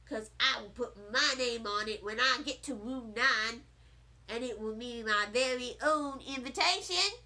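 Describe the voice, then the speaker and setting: A woman voicing a feisty, impish kindergarten girl in an expressive children's storybook reading, with emphatic, childlike phrasing. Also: fairly high pitch, unhurried, voice pushed down. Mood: smug. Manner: childlike, emphatic, dramatic phrasing with kid grammar.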